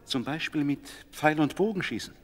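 An actor playing an eager, friendly young prince in a German radio play. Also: male voice, on the high side, lively intonation, normal speed. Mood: curious, polite.

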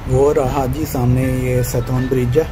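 A man's voice: medium pitch, flat delivery, unhurried. A man giving casual motorbike vlog commentary, pointing out passing sights to viewers. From Pakistan. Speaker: motorbike vlogger. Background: music, vehicle sound.

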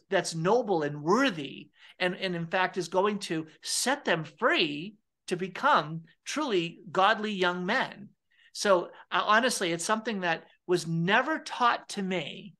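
A man's voice: sits high, bright, forceful tone. A middle-aged man hosting an interview over a video call from home, speaking earnestly, often repeating his words. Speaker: interviewer, theologian. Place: home room with fireplace.

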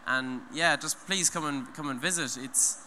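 A man's voice: on the high side, moderate pace, bright, forceful tone. A young man giving an earnest, rambling talk on stage into a handheld mic, full of restarts and "you know". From Ireland. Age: young adult. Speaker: speaker at an eco event. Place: large hall, on stage. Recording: long hall reverb, faint noise.

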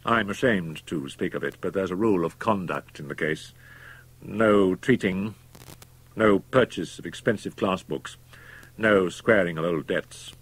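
A man voicing a worldly, domineering young doctor who is a murderer, speaking in a calm, emphatic, persuasive way. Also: low-pitched, measured pace, breathy edge, poor audio. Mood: smooth persuasion.

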